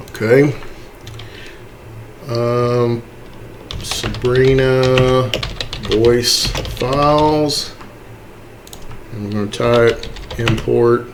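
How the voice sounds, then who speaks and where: A man giving an unscripted software tutorial, halting and step-by-step, often checking in with a questioning okay. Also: medium pitch, a little hiss or hum. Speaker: tutorial host.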